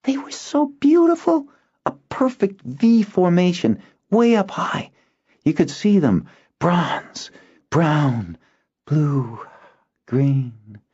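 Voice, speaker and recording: An eager, wide-eyed young boy with a high, wildly swooping voice, breathless and a bit boastful as he tells of dragons. the recording sounds slightly dull and lo-fi. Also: very animated, slow, warm tone, husky, heavily acted. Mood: dreamy. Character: eager excitable young boy on lookout duty.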